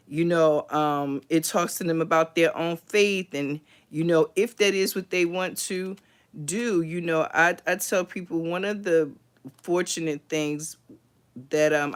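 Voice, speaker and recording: Slow, smooth-voiced woman host. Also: low-pitched. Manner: unhurried, thoughtful, many fillers and restarts. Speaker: host of a book review livestream. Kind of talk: casual livestream book talk.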